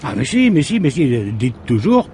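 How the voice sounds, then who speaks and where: A man's voice: medium pitch, sing-song, normal speed, warm tone, slight background noise, close to an everyday voice. A man playing a plain-spoken, obliging suburban driver in a French radio mystery drama, musing in colloquial phrases.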